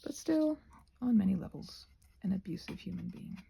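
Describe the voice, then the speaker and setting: A woman rambles casually in a mellow, relaxed voice thick with creak. Her tone is husky and breathy, often thinning toward a whisper. She talks in a halting way, interrupting herself as she goes, as on an informal ASMR vlog. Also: mid-range voice. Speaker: host of a craft ramble video.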